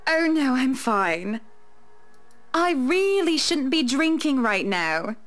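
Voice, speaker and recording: High, lilting, wealthy society wife. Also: female voice, sing-song, takes it slowly, a bit bright, heavily acted. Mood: gracious, sympathetic. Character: poised, wealthy, self-assured married society woman. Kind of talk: visual novel voice acting. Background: faint music.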